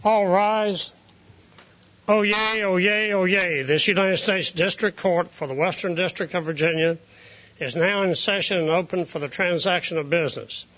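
A man proclaims the opening of court in a bright, forceful, high-set voice with a formal cadence. It is heard from a distance on a dull, lo-fi recording. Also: fairly even intonation, a little slow. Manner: loud, ceremonial cadence. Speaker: court marshal.

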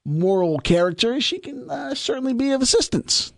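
High-voiced wisecracking young man, dry sound. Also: animated, normal speed, vocal fry, no room sound. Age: 20s. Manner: wisecracking, sarcastic, swears freely. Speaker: radio show co-host. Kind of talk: casual crude banter.

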